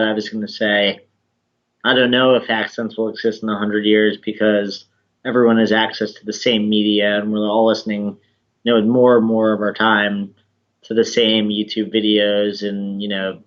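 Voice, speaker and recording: Low, articulate man on dull call audio. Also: a bit bright. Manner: articulate, thoughtful, measured. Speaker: guest. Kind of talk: casual interview conversation.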